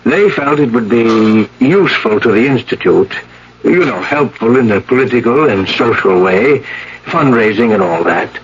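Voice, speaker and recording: An actor playing a placating, apologetic senior scientist who runs an institute, speaking hesitantly and tactfully in radio drama style. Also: male voice, mid-range voice, lively intonation, lightly acted. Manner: hesitant, measured, tactful delivery. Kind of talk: old-time radio drama acting. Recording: dull, noisy vintage radio broadcast.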